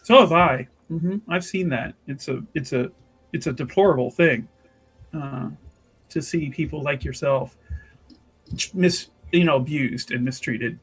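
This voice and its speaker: A man's voice: a little high, measured pace, breathy edge. Tabletop fantasy role: friendly, talkative female visitor, played by a man, sincere and keen to please. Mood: earnest. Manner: chatty, earnest, ingratiating.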